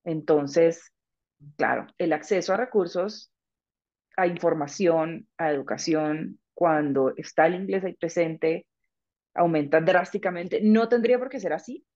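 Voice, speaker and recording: A middle-aged woman, a guest, speaking in a thoughtful, frank and measured way during a conversational interview. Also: on the low side, a little mellow, dull audio. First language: Spanish.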